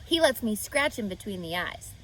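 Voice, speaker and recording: A woman's voice: mid-range voice, very animated, normal speed, fairly bright tone, slight background noise, low-quality recording. A woman voicing a rowdy young boy who brags and teases his sister. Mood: cheeky.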